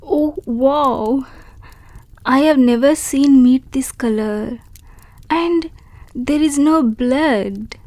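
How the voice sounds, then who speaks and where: A woman voicing a shy, grateful young female wolf creature in an audio roleplay, speaking softly and politely and stammering when unsure. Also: high voice, very slow, long pauses, no echo. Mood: awestruck. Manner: soft, polite and hesitant, with stammers.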